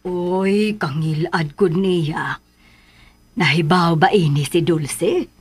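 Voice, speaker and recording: A woman acting in a Cebuano radio drama from the Philippines as a jealous, scornful schemer, husky, emotional and pushy. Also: on the low side, slow. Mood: worried, confiding. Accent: Filipino, Cebuano.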